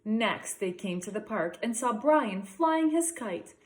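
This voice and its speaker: Lady teacher reading a kids picture book, expressive, slows down on key words, asks questions. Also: a little high. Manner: expressive reading with slow emphasis and guiding questions. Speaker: elementary school teacher. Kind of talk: picture book read-aloud for children.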